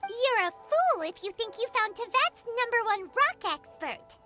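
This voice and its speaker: A squeaky little fairy sidekick from a video game, pitched very high and bouncing all over the place. She is bratty, boastful and excitable, with game music playing loudly behind her. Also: a little slow, exaggerated voice. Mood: chirpy, cheeky. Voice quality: squeaky, childlike. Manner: cheeky, excitable, talks about herself in third person. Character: tiny chirpy fairy companion, bratty and boastful.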